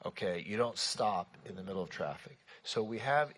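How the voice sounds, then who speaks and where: A man's voice: fairly low pitch, lively intonation, normal speed, a bit bright. A man chairing a city commission meeting, speaking informally and reassuringly to members of the public.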